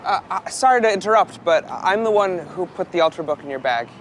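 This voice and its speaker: A man's voice: high voice, expressive, a little fast, slight background noise. A man, presenting a promotion in an upbeat way. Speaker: prank show host. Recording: loud music behind the voice.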